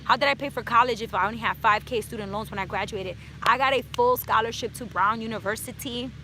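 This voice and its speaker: Bright chatty New woman outdoors. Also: a little high, fast, slight background noise, poor audio. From New York City. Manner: chatty, drops Spanish words. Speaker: personal finance YouTuber. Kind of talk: casual Q&A vlog. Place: outdoors in hot sun.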